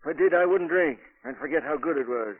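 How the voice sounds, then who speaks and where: An actor playing a friendly, folksy old American drunkard, rambling and forgetful, in 1940s radio drama. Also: male voice, fairly high pitch, fast, close to an everyday voice. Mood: rambling, amused. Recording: muffled vintage 1940s radio recording.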